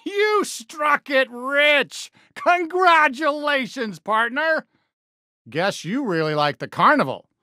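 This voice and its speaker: Casting: friendly, excitable cartoon cowboy sheriff for a game, American, folksy drawl, bright and forceful, swooping pitch. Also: male voice, slow pace, no echo, studio quality, exaggerated voice. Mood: cheerful, joking. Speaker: voice actor in a video game. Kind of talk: animated game character voice lines.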